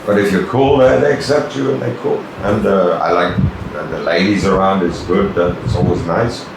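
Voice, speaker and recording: An older man with a Scottish accent, born in Kenya and raised in Tanzania, chatting casually as an interview guest with a relaxed, rambling manner. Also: deep voice, moderate pace, distant mic. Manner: relaxed, rambling storyteller with many fillers. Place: office with large desk. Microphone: camera on desk tripod. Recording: echoey room with background noise.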